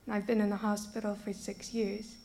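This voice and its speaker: A woman's voice: mid-range voice, level tone, a little fast, creaky, warm tone. A woman in her thirties who grew up in Brazil, giving an earnest personal faith testimony to a church group. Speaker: former teacher giving a testimony.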